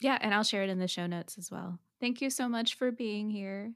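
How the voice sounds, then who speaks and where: A woman hosting a podcast in casual interview chat, with a quiet voice, chatty and quick to laugh. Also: medium pitch. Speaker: podcast host.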